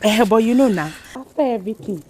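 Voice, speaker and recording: An actress with a Nigerian accent playing a flirtatious, demanding lover in a film drama, coaxing and teasing. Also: female voice, on the high side, lively intonation, quick pace, dark tone, slight background noise, lightly acted.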